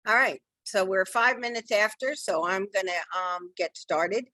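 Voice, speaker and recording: A middle-aged woman with a slightly hoarse voice, running a live online training class and talking in a chatty, reassuring way with many ums. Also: medium pitch, a little slow, pushed and bright, no echo. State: losing her voice. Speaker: real estate software trainer. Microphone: video call.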